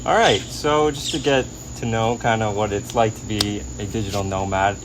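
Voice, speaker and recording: A man's voice: lowish voice, fairly even intonation, moderate pace, noisy background. A man hosting a casual conversational interview, informal and agreeable, often chiming in with short affirmations. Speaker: interview host.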